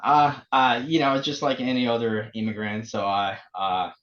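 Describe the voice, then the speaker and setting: Halting man, muffled audio. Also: mid-range voice, little pitch movement, crowd noise. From El Salvador. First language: Spanish. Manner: halting, many fillers and restarts. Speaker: guest. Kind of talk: casual interview.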